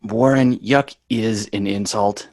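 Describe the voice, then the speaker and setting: A man's voice: fairly low pitch, steady tone, slow, a little mellow, dry recording. Man playing a dry, exasperated schoolboy, closely miked. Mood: dry, consoling. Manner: dry, sarcastic, bossy. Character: exasperated, wisecracking schoolboy big brother. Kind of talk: live sitcom table read.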